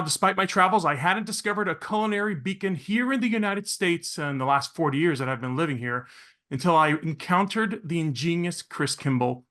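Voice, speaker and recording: A middle-aged man from Venezuela with a bright, forward voice pitched a little high. He hosts a podcast with effusive showmanship and polished delivery. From Caracas, Venezuela. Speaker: podcast host. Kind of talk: polished scripted introduction, then interviewing.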